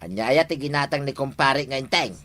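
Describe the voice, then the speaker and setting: A woman's voice: rumbling low, expressive, a little fast, a bit bright. A woman playing a pushy, teasing village neighbour in a radio comedy drama, emphatic and questioning.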